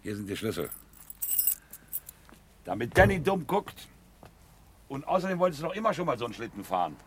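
A man's voice: mid-range voice, sing-song, a little fast, a little hiss or hum, natural voice. An actor playing a boastful, hot-tempered middle-aged businessman in a radio crime drama, domineering and self-righteous. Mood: patronizing, relaxed.

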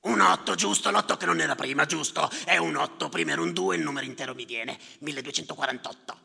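A callous male functionary in a stage monologue, heard in a long, ringing hall. His voice is very sharp and forceful, heavily creaky and rasping. He mutters and repeats himself with sardonic contempt. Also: a little high, fast, full character voice. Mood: insistent, self-satisfied. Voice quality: gravelly, rasping. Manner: sardonic, contemptuous, obsessively repetitive. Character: callous, muttering male bureaucrat tallying drowned migrants. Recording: reverberant hall, live stage.

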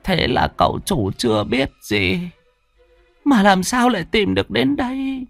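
Male narrator doing a shaky, tearful old woman, high and soft, slow, over faint music. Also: huge pitch swings, vocal fry, no echo, heavily acted. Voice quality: weak, trembling, stammering. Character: frail old woman lottery seller, tearful.

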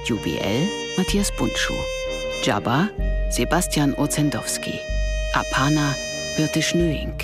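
A male radio drama announcer reads out credits formally. He goes very slowly, in a somewhat high male pitch, with instrumental music clearly playing behind him. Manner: measured, formal listing. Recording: prominent instrumental music under voice.

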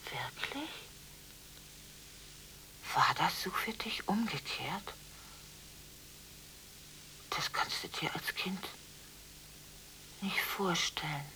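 An actress in a radio drama playing an emotionally torn daughter on the phone, halting and often near a whisper, on a hissy recording. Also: female voice, lowish voice, animated, bright, forceful tone, exaggerated voice, rough recording. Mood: tender, hushed. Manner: halting, stammering, pleading, breaking into whispers. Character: distraught, emotionally torn daughter on a late-night phone call. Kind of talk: 1980s German radio drama acting.

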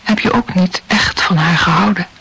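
A woman's voice: lowish voice, normal speed, gravelly, a bit bright, husky, exaggerated voice, low-quality recording. An actress playing a hurt young woman in love, plaintive and accusing, in an intimate radio play. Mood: reproachful.

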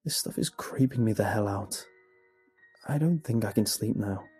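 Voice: mid-range voice, normal speed, dark tone, breathy edge, soft background music. A man playing a rattled, fed-up father in a narrated horror story. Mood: uneasy.

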